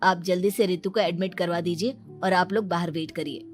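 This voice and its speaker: A woman playing a calm doctor in a cartoon story, explaining in a matter-of-fact, reassuring way. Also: on the low side, moderate pace, soft background music, natural voice. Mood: calm, instructive. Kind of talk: Hindi animated moral story acting.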